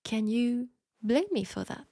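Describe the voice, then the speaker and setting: A woman playing a flirtatious, caring Parisian seamstress in an intimate steampunk audio roleplay, speaking with a French accent and a soft, hesitant delivery. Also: a little high, big pitch swings, measured pace, creaky, no echo, exaggerated voice. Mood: playful, teasing. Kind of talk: intimate scripted audio roleplay addressed to the listener.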